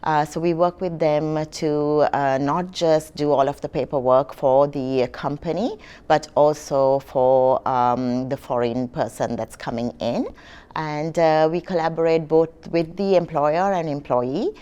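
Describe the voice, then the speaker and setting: A woman's voice: sits low, steady tone, unhurried, dark tone, clean tone, lo-fi sound. A middle-aged woman from Sri Lanka, an immigration consultant answering interview questions with many hesitations and fillers.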